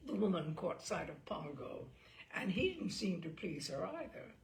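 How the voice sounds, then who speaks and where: A woman's voice: lowish voice, big pitch swings, moderate pace, gravelly. A woman reading a comic story aloud as a scripted narration. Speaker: story reader.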